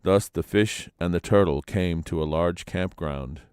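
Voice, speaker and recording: A man narrating legends as a storyteller, in a dramatic, expressive reading. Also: deep voice, measured pace, clear, resonant tone, no echo, clean studio sound.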